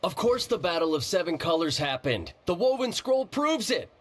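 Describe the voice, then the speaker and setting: A man's voice: sits high, big pitch swings, moderate pace, a little hiss or hum. A man voicing a stubborn young tribesman in a video game who insists that a legend is true. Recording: game cutscene with faint music.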